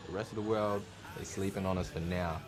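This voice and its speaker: A man's voice: sits low, steady tone, brisk. A young man, a hip hop artist, talking bluntly and with heavy slang in an interview. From Australia. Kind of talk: candid interview. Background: music playing.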